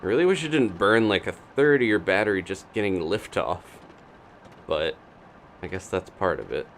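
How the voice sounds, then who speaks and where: A man's voice: sits low, expressive, moderate pace, fairly bright tone, a little hiss or hum. A man giving casual live gameplay commentary, chatting and thinking aloud in unfinished asides. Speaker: video game streamer.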